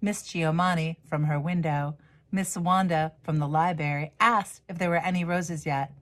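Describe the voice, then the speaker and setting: A middle-aged woman with a low voice reads a children's picture book aloud. She tells the story expressively, in an animated way that suits young listeners. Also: moderate pace. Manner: animated, acts out the dialogue. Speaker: storyteller, reverend.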